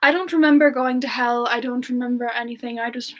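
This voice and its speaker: A woman playing a playful, affectionate and coy female demon siren, speaking softly and hesitantly in a semi-ASMR question-and-answer style. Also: fairly high pitch, fairly even intonation, brisk, close to an everyday voice. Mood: musing. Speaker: host answering audience questions in character.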